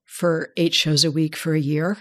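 A woman with a low voice, middle-aged and calm. She explains voice care in an unhurried interview, measured and a little wry. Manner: measured, explanatory, gently humorous. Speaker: voice care expert guest. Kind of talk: relaxed conversational interview.

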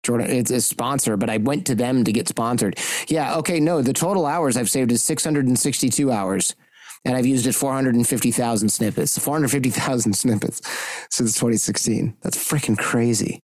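A man in casual talk, speaking candidly and wandering from point to point. His voice sits at a middle pitch. The sound is dry with no room echo. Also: moderate pace, a little mellow. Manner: candid, rambling, lightly profane. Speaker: podcast host. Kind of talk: casual chat.

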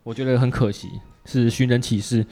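A man's voice: medium pitch, steady tone, a little fast, warm tone, soft background music. A man with a Taiwanese Mandarin accent hosts a casual interview, commenting and asking in an informal, opinionated, wry way. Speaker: podcast host.

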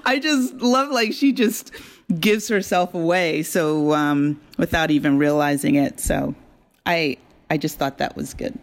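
A woman with a slightly low voice talks as a guest writer in a casual interview. She is thoughtful and halting, often leaving phrases hanging.

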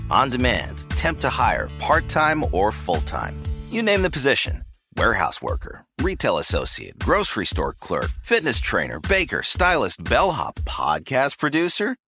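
A man's voice: medium pitch, wildly swooping pitch, normal speed, sharp tone, faint music behind. A man reading a punchy scripted commercial as an announcer. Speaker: advertisement announcer.

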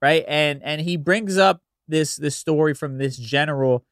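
A man's voice: on the high side, measured pace, a bit bright, dry recording. Guy doing a casual podcast, bit stuffed up and nasal, kinda rambles, really dry sound. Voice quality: slightly nasal. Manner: conversational, meandering, frequent "you know". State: congested from allergies. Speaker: podcast host. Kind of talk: casual solo podcast talk.

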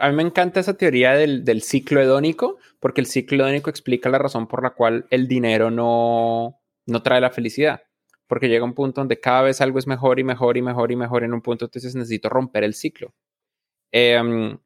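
A man as an interview guest. His voice sits at a middle pitch, and his talk is reflective and self-deprecating, with frequent restarts. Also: steady tone, a little fast. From Colombia.

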